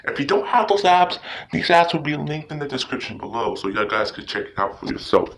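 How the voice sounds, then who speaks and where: A man hosting a casual tutorial, speaking informally and haltingly, often repeating himself. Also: a little high, very animated, a bit bright. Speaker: tutorial host. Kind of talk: casual screen-recording tutorial. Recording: noisy recording with clicks.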